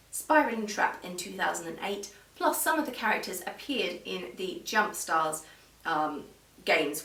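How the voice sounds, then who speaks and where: A woman with a slightly low voice talks viewers through a review in an informal, explanatory way. She speaks in a measured fashion. The room adds a clear echo, and she sounds set back from the microphone. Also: fairly bright tone. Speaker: video reviewer. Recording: roomy echo, distant microphone.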